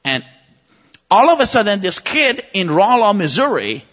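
A man's voice: sits high, very animated, moderate pace, a bit bright. A man from Iran, a native Persian speaker, preaching an impassioned sermon with vivid stories. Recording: muffled recording with long reverb.

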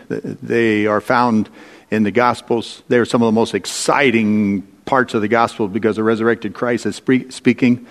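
Male preacher in a reverberant hall. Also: medium pitch. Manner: deliberate storytelling, dramatic pauses and rhetorical questions. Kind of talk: sermon retelling and explaining Bible stories. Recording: echoey large hall with long reverb.

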